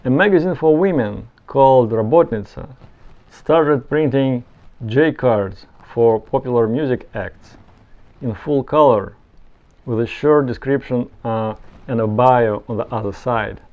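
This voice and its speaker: A man's voice: medium pitch, a little slow, narrow, tinny audio. A man giving explanatory, semi-scripted narration as a video presenter. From Soviet Union.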